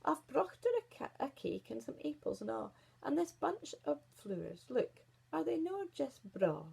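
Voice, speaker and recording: Woman playing a high-voiced innocent Scottish wee girl. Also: big pitch swings, normal speed, gravelly, dark tone, full character voice, rough recording. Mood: chatty, innocent. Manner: artless, matter-of-fact.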